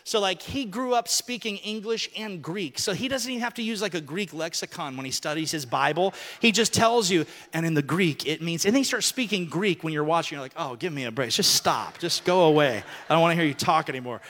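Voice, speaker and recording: Joking male preacher, bright ringing tone, long hall reverb. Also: a little high, a little fast, few pauses. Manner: joking, animated, talks to people on stage. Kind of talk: lively sermon with audience volunteers.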